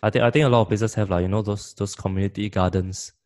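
A man's voice: lowish voice, steady tone, fast talker, darkish tone. A young Singaporean man co-hosting a podcast, his throat phlegmy from the haze, bantering playfully and teasing himself. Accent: Singaporean English. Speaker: podcast co-host. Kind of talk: casual chat.

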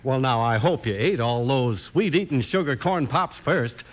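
A man's voice: mid-range voice, expressive, moderate pace. An American man announcing a 1950s radio western and its sponsor in scripted old-time style.

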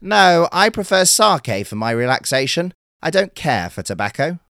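A man voicing a kindly, calm little male sea turtle in a storybook tale, speaking slowly and courteously. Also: a little high, dry recording, clean studio sound, voice pushed up. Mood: gentle, earnest. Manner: slow, gentle and courteous. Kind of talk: storybook character voice.

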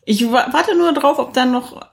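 A woman's voice: sits high, moderate pace, gravelly. A woman co-hosting a podcast, chatting casually about films with a mid-range voice. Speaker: podcast co-host. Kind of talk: casual film review chat.

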